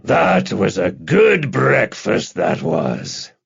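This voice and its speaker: A man's voice: lowish voice, wildly swooping pitch, drawn-out words, creaky, slightly rough, dull audio, full character voice. A man voicing a hulking male pub regular with childlike glee in an audiobook. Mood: satisfied.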